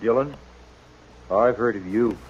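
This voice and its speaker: An American actor playing a sick, weary homesteader father, halting and plainspoken with a rural accent, in an old-time radio western. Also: on the low side, dull audio, close to an everyday voice. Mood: weak, weary. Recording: thin, noisy old radio recording.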